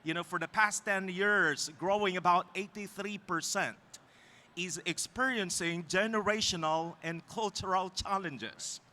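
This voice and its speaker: A man moderating a church leadership panel, speaking haltingly with many fillers. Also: high voice, bright, forceful tone.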